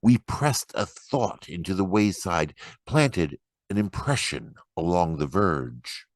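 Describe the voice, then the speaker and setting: A man giving a dramatic, expressive and deliberate reading of poetry. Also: deep voice, no room sound. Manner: expressive, deliberate, acts out quoted remarks. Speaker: poetry reader.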